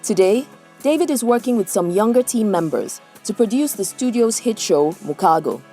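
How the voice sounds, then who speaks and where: A woman's voice: medium pitch, animated, normal speed, mellow. A woman reading scripted voice-over narration for a news feature. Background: guitar music.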